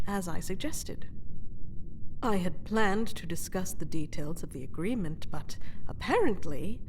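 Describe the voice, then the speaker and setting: Slow-spoken, proud captive queen played by a woman. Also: medium pitch, slight background noise, close to an everyday voice. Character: captured proud fantasy queen, sardonic former mercenary, under interrogation. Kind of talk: scripted audio roleplay drama.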